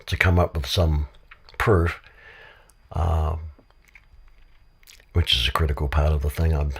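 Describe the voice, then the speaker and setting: A man with a cold and a very deep, husky voice, talking in a rambling way with many fillers in a casual discussion. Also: fairly even intonation, a little slow. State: has a cold. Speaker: podcast guest. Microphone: studio microphone.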